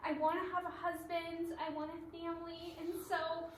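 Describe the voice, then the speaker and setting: A woman's voice: very high voice, flat delivery, moderate pace. A woman performing readers theatre as a giddy, hopelessly romantic dating show contestant, gushing with enthusiasm. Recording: echoey room, distant mic, some noise.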